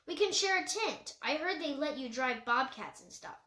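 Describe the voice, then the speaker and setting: An impulsive, guarded boy of thirteen from a storybook, read by a woman, speaks bluntly and urgently. The voice is high and rings in an echoey room. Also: animated, normal speed, sharp tone. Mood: eager, urging.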